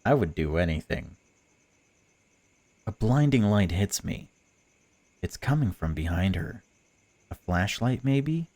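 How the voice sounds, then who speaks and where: Guy playing a miserable scared prisoner narrating a horror game, deep voice, brooding. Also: very animated, slow pace, long pauses, darkish tone, dry recording, exaggerated voice. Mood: uneasy. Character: suffering, bitter, frightened captive bound outdoors. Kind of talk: first-person visual novel narration read aloud.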